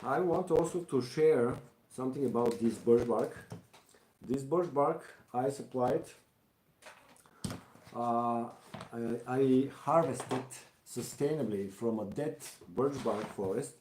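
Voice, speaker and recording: Slow-talking Bulgarian man in an echoey room. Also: medium pitch, noisy background. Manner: halting, thinking aloud while working. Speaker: craftsman hosting a live stream. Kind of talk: casual live craft demonstration.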